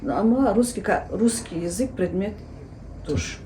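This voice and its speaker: A woman teacher answering interview questions at length, explaining steadily with many pauses and filler sounds. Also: mid-range voice, expressive, dark tone, slight background noise. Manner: explanatory, hesitant with frequent fillers. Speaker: Lezgian language teacher and researcher.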